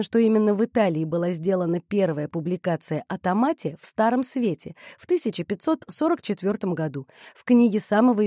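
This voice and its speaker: A young adult woman reading an explanatory narration in an even, scripted manner. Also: fairly high pitch, no room sound, clean studio sound. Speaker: presenter.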